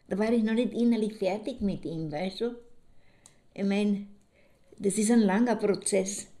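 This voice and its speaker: An actress playing a reflective middle-aged woman looking back on her marriage in a halting, musing audio drama monologue. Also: medium pitch, warm tone, natural voice. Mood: reflective, resigned. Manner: halting, musing, pausing to think.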